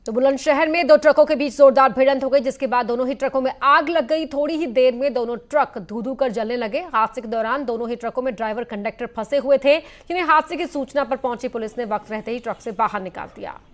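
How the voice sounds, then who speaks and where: High-pitched woman news anchor. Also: fast, few pauses. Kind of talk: scripted news bulletin narration.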